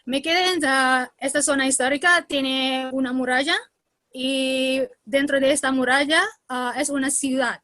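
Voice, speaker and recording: A woman from Indonesia with an Indonesian accent, giving a seminar presentation in beginner Spanish, often pausing to search for words, over a video call. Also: sits high, steady tone, pushed and bright.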